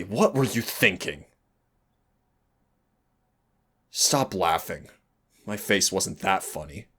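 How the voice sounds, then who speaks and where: A man's voice: low voice, fairly bright tone. A man playing a cocky, sardonic demon mentor in a scripted roleplay audio, teasing and exasperated yet fond.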